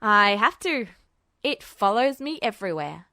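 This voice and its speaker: A woman's voice: mid-range voice, big pitch swings, a little slow, bright, forceful tone, dry recording, clean studio sound, voice pushed down, heavily acted. A woman voicing a cocky, sarcastic boy thief who talks in clipped, broken phrases. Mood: casual, evasive.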